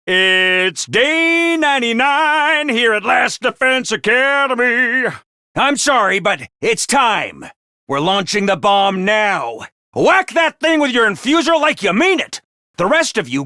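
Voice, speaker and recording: A very high, bright little mascot creature, cheery over the announcement system but sly and cold underneath. Its pitch jumps around a lot. The studio recording is close and dry. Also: male voice, no room sound, exaggerated voice. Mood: announcing. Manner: cheery announcer voice turning snide and callous. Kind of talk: video game voice lines.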